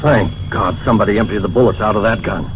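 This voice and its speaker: A man's voice: fairly low pitch, quick pace, lightly acted. An actor playing an anxious, easily startled male companion of a detective in 1950s radio drama style. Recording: dull, noisy vintage radio recording.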